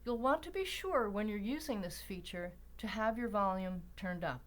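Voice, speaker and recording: A woman narrating an instructional screencast in a deliberate, explanatory way with emphatic pauses. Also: mid-range voice, animated. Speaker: library tutorial presenter.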